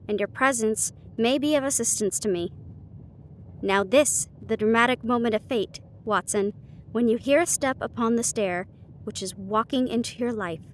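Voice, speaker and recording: Woman voicing a shrewd male detective, higher-pitched, confident, teasing, theatrical, deliberate, storybook reading, some background noise. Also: close to an everyday voice. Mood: dramatic, commanding. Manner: deliberate, declarative deduction.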